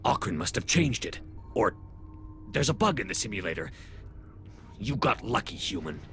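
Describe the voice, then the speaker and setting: A man's voice: on the low side, very animated, normal speed, sharp tone, full character voice. A man voicing a bitter, sneering male alien soldier in a video game, contemptuous and grudging. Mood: sneering, suspicious. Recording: game music behind the voice.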